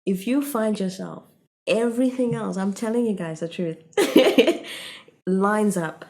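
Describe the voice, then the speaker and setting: A woman's voice: medium pitch, unhurried, a little mellow. A woman from Africa, a podcast guest, talking in an earnest, reflective way with lots of "like" and "you know". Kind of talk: casual conversation.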